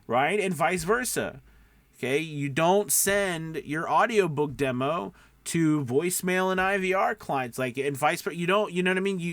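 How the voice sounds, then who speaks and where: A man's voice: a little high, a bit bright, no room sound. A man giving informal instruction as a coach, stressing key points by repeating them and often checking in with the listener. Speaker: voice-over coach. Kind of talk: informal instructional talk, tips for aspiring voice actors.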